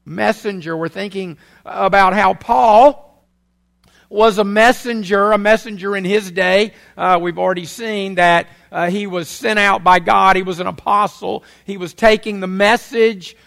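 A man's voice: high-pitched, steady tone, measured pace, creaky, a bit bright. An older man, preaching a sermon in a folksy, chatty way with many uhs while he has a head cold. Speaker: pastor.

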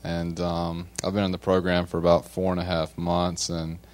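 A man from North Carolina, giving a halting, reflective personal testimony. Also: low voice, completely flat delivery.

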